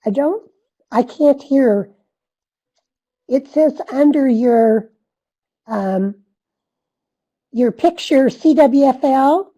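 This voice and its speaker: A woman moderates a panel over a video call, her voice fairly high but husky. She speaks slowly, gently and tentatively, with a mellow tone. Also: highs cut off.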